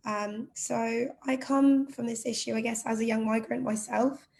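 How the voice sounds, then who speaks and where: Young woman from Zimbabwe, charity outreach worker; fairly high, gravelly voice with little pitch movement, earnest. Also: steady tone, moderate pace, a little mellow, a little hiss or hum. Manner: earnest, reflective. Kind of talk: panel discussion.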